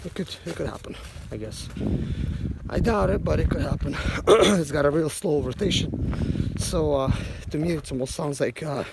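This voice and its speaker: Hoarse male mechanic, 30s, gravelly voice, outdoor phone recording with noise behind. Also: fairly high pitch, animated, normal speed. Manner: thinking aloud while working, many fillers. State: hoarse, losing his voice. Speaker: mobile mechanic. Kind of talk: casual how-to vlog. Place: outdoors in a rural yard by a van. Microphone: phone camera held close.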